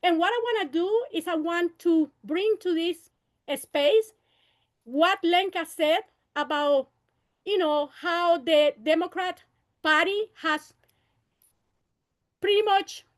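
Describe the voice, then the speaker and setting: A woman's voice: very high voice, takes it slowly, long pauses. A woman in her fifties running for city council, giving an impassioned campaign speech at a meeting, moving between Spanish and English and repeating her calls for unity. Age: 50s. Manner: impassioned, rallying, repeats phrases.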